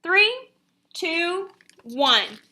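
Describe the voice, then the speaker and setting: A woman, an elementary teacher reading a picture book aloud and giving pupils instructions in an expressive, deliberate way. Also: very high voice.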